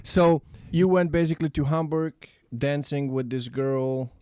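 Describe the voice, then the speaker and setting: Mellow-voiced podcast host, Slovak native. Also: male voice, a little high, smooth, dry recording, studio quality. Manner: eager, quick back-channel "yeah"s, animated anecdotes. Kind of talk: casual interview chat.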